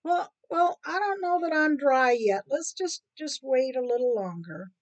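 A woman's voice: high-pitched, animated, a little slow, voice pushed up. A woman voicing a sly, nervous male rat in a folktale, hesitant and stammering as he apologizes and stalls. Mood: nervous, stalling. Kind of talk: oral folktale character voice.

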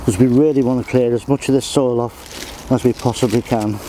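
A man's voice: mid-range voice, steady tone, moderate pace, background noise. A middle-aged man, casually showing how he does a garden job while he works, outdoors on an allotment. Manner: plain, practical, talks while working.

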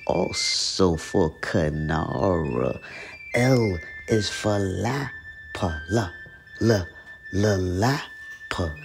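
A man with a fairly deep voice hosts a read-along for children. He reads in a simple, teacherly way at an unhurried pace. Faint music plays behind him. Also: vocal fry. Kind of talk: read-aloud lesson for children.

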